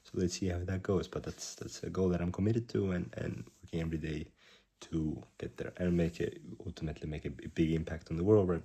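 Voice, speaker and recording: A man in his twenties, a startup founder, recording a rambling video diary at a hushed volume while slightly sick with a cough. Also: low voice, slightly rough. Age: 20s. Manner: rambling, halting, self-correcting; keeps his voice down.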